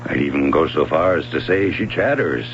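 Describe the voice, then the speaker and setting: An evasive swimming coach. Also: male voice, a bass voice, expressive, moderate pace. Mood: guarded. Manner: casual, evasive, chuckling. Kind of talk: 1970s radio drama acting. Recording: muffled old radio broadcast with hum.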